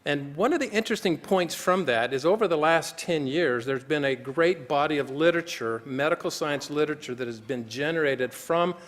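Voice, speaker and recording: A man's voice: a little high, moderate pace, hardly any pauses, a bit bright. Earnest man speaking in a reverberant hall. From United States. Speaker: conference speaker, physician. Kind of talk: formal conference talk. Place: conference hall.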